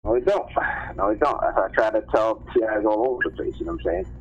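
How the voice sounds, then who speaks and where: A man's voice: on the low side, fast talker. A man venting casually, rambling and repeating himself in slangy, profane talk. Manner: rambling, repetitive, slangy and profane, often saying "you know what I'm saying". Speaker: call-in panelist. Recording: dull noisy call audio.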